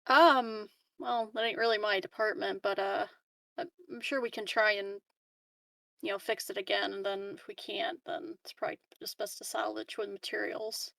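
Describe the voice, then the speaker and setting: A woman with a gravelly, creaky voice, chatting as a player at a tabletop game. She talks casually and hesitantly, with lots of fillers. Her voice is dry and close, with no room sound. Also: medium pitch, fairly even intonation, normal speed, bright, forceful tone, no room sound.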